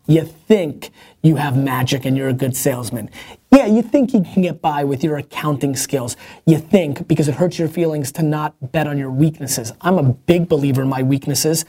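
A man's voice: fairly high pitch, dark tone. A man hosting a viewer-question show, talking bluntly and emphatically with restarts and mild cursing. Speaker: host answering viewer questions. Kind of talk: energetic motivational Q&A.

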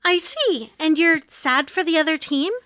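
A woman's voice: sits high, expressive, normal speed, pushed and bright, dry recording, studio quality. A woman playing a teasing, matter-of-fact sitcom wife in an amateur comedy table read. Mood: breezy.

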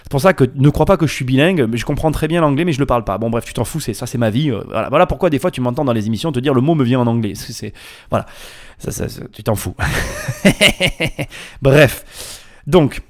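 Animated, digressive podcast host. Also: male voice, medium pitch, a little fast. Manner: informal, playful, slangy, emphatic, digressive. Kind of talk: casual solo podcast monologue.

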